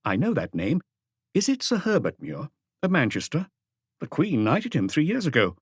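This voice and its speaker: A man's voice: on the high side, huge pitch swings, normal speed, slightly rough, no echo, exaggerated voice. A grave Scotland police inspector, read by a male narrator speaks formally and seriously. The audiobook recording is dry and close.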